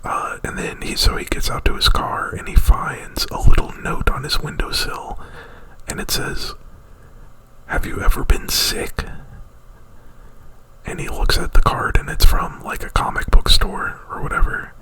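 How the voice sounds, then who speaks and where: A man whispering an ASMR retelling of a movie plot right up at the mic, in a halting, rambling, informal way. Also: pushed and bright, low-quality recording. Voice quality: breathy whisper. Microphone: very close to the mic.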